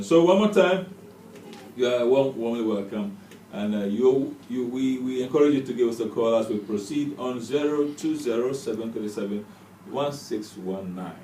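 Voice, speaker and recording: A man's voice: mid-range voice, dark tone. A man hosting a radio panel discussion, talking with many hesitant fillers, recorded from a distance in an echoey room. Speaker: radio talk show host. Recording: distant mic, echoey room, background noise.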